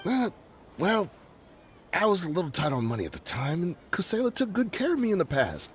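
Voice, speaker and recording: A man's voice: sits high, sing-song, fairly bright tone, breathy edge, full character voice. An actor playing a nervous male smuggler who pleads and bargains when cornered, in a game cutscene. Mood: pleading. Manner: pleading, bargaining, quick to back down.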